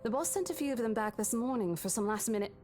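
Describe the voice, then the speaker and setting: A woman's voice: fairly high pitch, expressive, fast, a little mellow, soft background music, natural voice. Composed, concerned woman colleague, played by an actress. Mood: skeptical. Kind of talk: visual novel voice acting.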